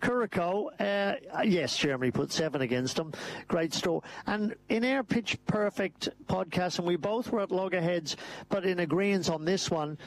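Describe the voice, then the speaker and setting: Male co-host, slightly high-pitched, casual and quick-witted football banter. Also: lively intonation, low-quality recording. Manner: chatty, jokey, quick bursts with frequent restarts. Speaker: sports radio co-host. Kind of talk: casual sports chat.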